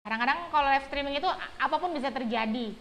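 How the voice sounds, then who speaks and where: A woman in her thirties, a church multimedia volunteer, gives quick, excitable interview answers. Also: high voice, a little hiss or hum. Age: 30s.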